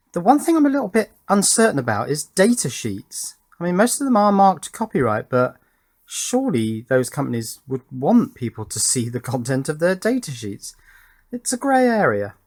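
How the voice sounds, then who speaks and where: A man narrating hands-on work casually, thinking aloud with pauses and murmured asides as he goes. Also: fairly high pitch, a little mellow. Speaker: host of an electronics project channel.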